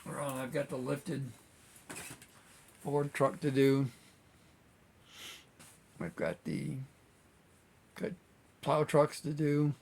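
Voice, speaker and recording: Gravelly man, casual model-building chat. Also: medium pitch, takes it slowly, long pauses, a little hiss or hum. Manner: relaxed, rambling, many fillers. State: slightly hoarse, losing voice. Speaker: scale model builder and channel host.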